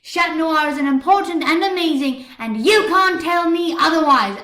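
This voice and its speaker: Woman acting a shrill, fiery, ranting schoolgirl. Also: moderate pace. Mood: furious ranting. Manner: forceful, indignant, ranting. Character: fiery, stubborn schoolgirl passionately defending her hero. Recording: echoey room on computer microphone.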